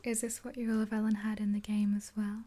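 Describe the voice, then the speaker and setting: A woman in her twenties whispering a gentle ASMR roleplay in a breathy, unhurried, hesitant voice, close to the mic. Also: mid-range voice, level tone. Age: 20s. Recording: hissy close recording with clicks.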